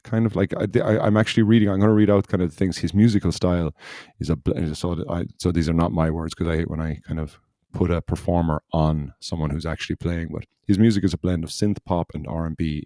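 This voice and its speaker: A man's voice: low-pitched, warm tone, dry recording, studio quality. A man hosting a podcast, telling a loose, rambling story in casual chat. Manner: rambling, loose storytelling that circles back on itself. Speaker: podcast host.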